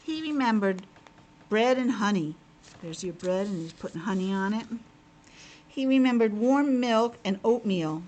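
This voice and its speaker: A woman's voice: medium pitch, expressive, measured pace, a little mellow, slight background noise, poor audio. A woman teacher reading a picture book aloud to young children, expressively, with simple asides to her listeners.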